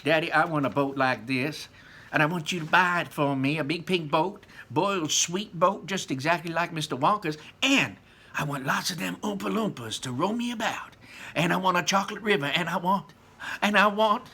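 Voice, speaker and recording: A bratty storybook rich girl, as a man reads her, raised in pitch and forceful. The demands come whining and insistent, one piled on another. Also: moderate pace, breathy edge, full character voice. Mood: whiny, demanding.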